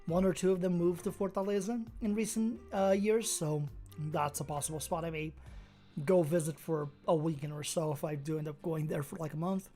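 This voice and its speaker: A Brazilian-born woman whose first language is Portuguese, speaking with a mostly American accent that carries a slight Brazilian touch. She streams a game and chats with viewers in a relaxed, rambling way, thinking aloud. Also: on the low side, fairly quick, faint music behind. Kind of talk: casual chat with viewers while playing a game.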